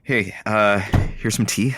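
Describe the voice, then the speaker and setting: A man's voice: on the low side, fairly even intonation, slow, music in the background. A man playing an evasive, conflicted radio colleague and expectant father in an audio comedy-drama. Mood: placating.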